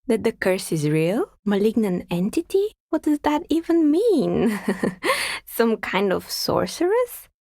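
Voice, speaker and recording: Casting: wry woman dicemaker for a game, creaky voice, politely skeptical, close dry sound. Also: fairly high pitch, sing-song, darkish tone, no echo, exaggerated voice. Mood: dry, amused. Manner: dry, sardonic, measured politeness. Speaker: video game character. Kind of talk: video game dialogue acting.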